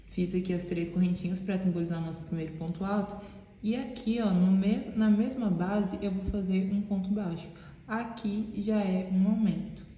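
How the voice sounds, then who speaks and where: A woman's voice: medium pitch, warm tone. Lady teaching crochet, stuffy nose, nasal voice, very echoey room. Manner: chatty, explains step by step, asks "né?" and "tá?". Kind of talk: casual tutorial talk. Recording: very echoey room with long reverb.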